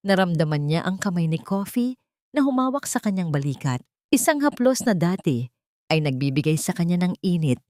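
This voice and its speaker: A woman narrating a scripted dramatic story with solemn, deliberate phrasing. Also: on the low side, sing-song, a little mellow, no room sound, studio quality.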